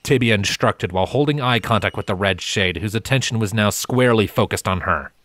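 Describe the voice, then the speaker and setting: A man's voice: fairly low pitch, a little fast, fairly bright tone, dry recording. A man reading scripted fantasy fiction as a storybook narrator. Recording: music bed behind narration.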